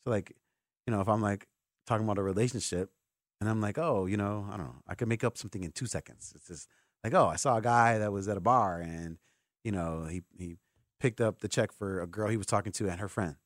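A man's voice: lowish voice, quick pace, dry recording. Man hosting casual sports radio, dry studio sound. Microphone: close broadcast microphone.

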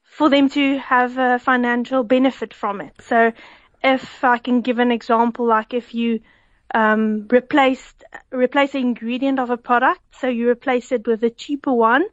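A woman's voice: fairly high pitch, fairly even intonation, normal speed, no room sound. South African woman on a dull phone line. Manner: giggly, explains at length with restarts. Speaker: food fraud researcher guest. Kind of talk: radio phone interview.

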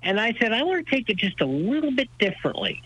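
A man's voice: extremely high pitch, very animated, fast talker, bright, forceful tone, slightly rough, poor audio. An older American man telling stories in a radio interview, with an emphatic, folksy way of putting things. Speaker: radio show host being interviewed as guest. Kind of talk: conversational radio interview, storytelling.

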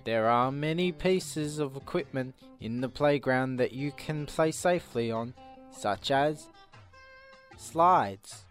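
A man playing an earnest, slow-witted host of an instructional video, explaining things in repetitive circles. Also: medium pitch, expressive, over music. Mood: earnest, upbeat. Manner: repetitive, circular, over-explaining.

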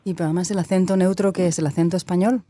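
A woman's voice: fairly low pitch, fairly even intonation, rapid-fire, dark tone, no echo. A woman interviewing an author in a casual chat, encouraging and curious. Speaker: interviewer, host.

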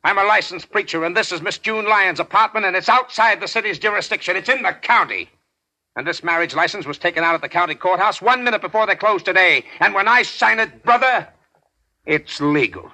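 A man's voice: high voice, fairly quick, pushed and bright, no echo, exaggerated voice. An American man playing a solemn, defiant preacher in a 1940s radio drama. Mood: defiant, insistent.

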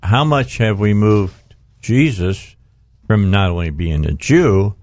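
A man's voice: lowish voice, expressive, slow, darkish tone, no echo. A man hosting a radio talk show in a conversational style.

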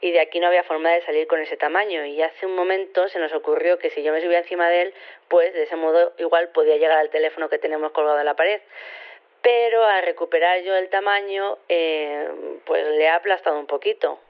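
Female scientist caller: lower register, apologetic, understated, hesitant, slightly muffled telephone line. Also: steady tone, smooth. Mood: sheepish. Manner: halting, casual, understated. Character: normal-sized female scientist, apologetic, casual, sheepish. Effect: telephone filter. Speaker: phone-in caller. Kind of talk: Spanish audio fiction radio phone-in. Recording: slightly dull telephone sound.

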